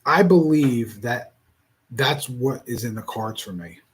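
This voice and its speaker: A man in his forties speaking in a casual reflective monologue, rambling and halting with many restarts. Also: mid-range voice, lively intonation. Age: 40s.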